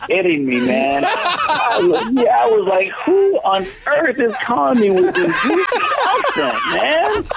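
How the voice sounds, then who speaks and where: A man's voice: very high-pitched, huge pitch swings, a little slow, gravelly. A man working as a restaurant manager, talking politely and a little hesitantly in a casual phone call. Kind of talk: casual, unscripted phone conversation. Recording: phone call with loud crowd behind. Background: busy restaurant crowd noise.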